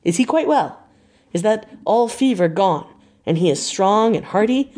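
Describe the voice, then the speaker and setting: A woman's voice: medium pitch, lively intonation, unhurried, a little mellow, pitched above the performer's own voice. Woman acting effusive old male doctor. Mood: earnest, effusive. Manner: emphatic, effusive, in broken formal English.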